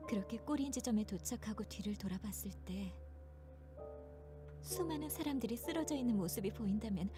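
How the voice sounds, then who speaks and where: An actress playing a gentle, despairing young woman in a visual novel, with a trembling voice that sounds near tears. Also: medium pitch, expressive, racing, over music, natural voice. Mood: sorrowful, disillusioned. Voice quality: trembling, breathy at phrase ends. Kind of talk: dramatic visual novel voice acting.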